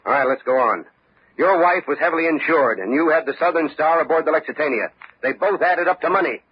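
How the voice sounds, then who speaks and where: An actor playing a shrewd, unflappable police lieutenant in a radio detective drama, speaking methodically and with confidence. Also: male voice, fairly high pitch, lively intonation, brisk, husky. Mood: coolly accusing. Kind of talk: old-time radio detective drama acting. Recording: dull vintage radio recording.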